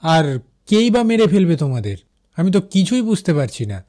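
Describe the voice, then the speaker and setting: A man playing an uneasy night-shift railway worker, narrating his own horror story with suspense in an animated cartoon. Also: sits high, normal speed. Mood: anxious. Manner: suspenseful, with fearful repeated phrases. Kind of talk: first-person dramatic horror story narration.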